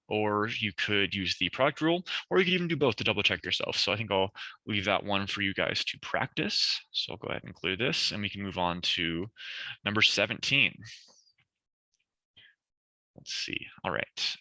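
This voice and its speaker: Young male math tutor, slightly hoarse, methodical and self-correcting, dry and close. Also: lowish voice, pushed and bright, no echo, poor audio. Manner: talks through problems step by step, self-correcting. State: losing his voice, clearing throat. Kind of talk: recorded review session lecture.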